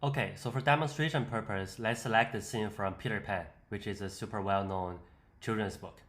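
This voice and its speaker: A man presenting an unscripted software walkthrough, explaining step by step in a hesitant way. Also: on the low side, brisk. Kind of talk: unscripted screen-demo walkthrough.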